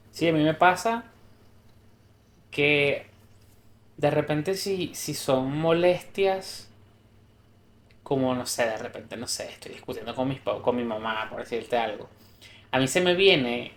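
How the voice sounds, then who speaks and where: A man's voice: medium pitch, fairly even intonation, a little slow, long pauses. Man chatting in an echoey room. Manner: thoughtful, explains with restarts and examples. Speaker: podcast co-host. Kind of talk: casual conversational podcast chat. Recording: roomy echo.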